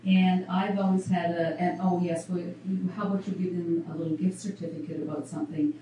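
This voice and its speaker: A woman's voice: lowish voice, steady tone, a little fast, mellow. A female researcher at a public café panel, speaking earnestly and firmly with frequent "um"s. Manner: earnest, firm, many "um"s. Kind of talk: panel discussion. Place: coffeehouse event room. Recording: echoey room, distant mic, faint noise.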